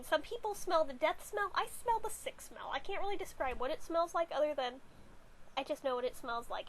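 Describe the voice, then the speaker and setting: Young woman vlogger, high and bright, hoarse and stuffed up from a cold, rambling chatty delivery, faint hiss behind. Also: low-quality recording. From California, USA. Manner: chatty, rambling, wry asides. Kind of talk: casual chat to camera. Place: bedroom.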